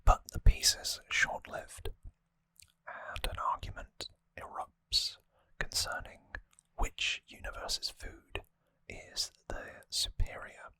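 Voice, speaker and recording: An ASMR host whispering breathily and close to the microphone, explaining things softly and informally with some hesitation. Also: takes it slowly, no room sound, low-quality recording. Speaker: host of a trivia ASMR show. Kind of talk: ASMR whispered episode recap.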